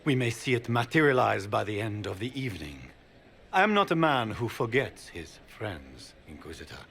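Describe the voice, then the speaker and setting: A scheming grand duke in a fantasy game, smooth and persuasive, courting allies with theatrical flourishes. His voice sits low but swings widely in pitch. A faint hiss runs beneath it. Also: big pitch swings, moderate pace, fairly bright tone, heavily acted. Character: scheming, charming noble grand duke, middle-aged man. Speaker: grand duke seeking the throne. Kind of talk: video game cutscene dialogue.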